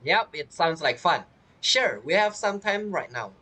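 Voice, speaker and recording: Low-pitched, very animated woman gamer. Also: a little slow. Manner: teasing, playful. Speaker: gamer playing and commenting. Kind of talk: casual playthrough commentary, reacting to dialogue. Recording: faint game music behind.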